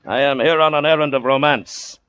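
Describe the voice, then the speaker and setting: An actor playing a swaggering, menacing yet lovestruck pirate captain in old-time radio drama, with theatrical, archaic, grandiose phrasing. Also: male voice, fairly high pitch, fairly even intonation, fairly quick, fairly bright tone, clean tone, natural voice. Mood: sly, confident. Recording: dull, muffled old radio recording.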